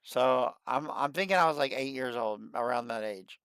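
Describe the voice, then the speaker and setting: A man in his late thirties hosting a livestream, chatting casually and excitedly, and often repeating himself. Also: medium pitch, normal speed, clean tone, no room sound. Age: 30s. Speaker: livestream host. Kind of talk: casual chat.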